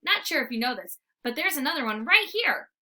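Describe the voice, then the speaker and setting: A woman voicing an eager, boastful young male dog in an excitable, earnest storybook read-aloud. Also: sits high, a little fast, exaggerated voice.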